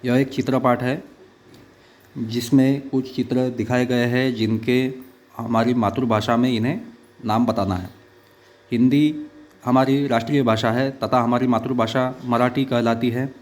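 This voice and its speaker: A male schoolteacher talks through a children's picture lesson. His pitch sits in the ordinary middle range. He speaks in short, halting phrases and often stops on filler sounds. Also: fairly even intonation. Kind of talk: online class lesson.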